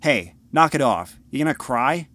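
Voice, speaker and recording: A man's voice: medium pitch, expressive, normal speed, fairly bright tone, dry recording. A man playing a dismissive, irritable teenage older brother in an audio drama, with a youthful, curt delivery. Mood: irritated. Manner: curt, impatient.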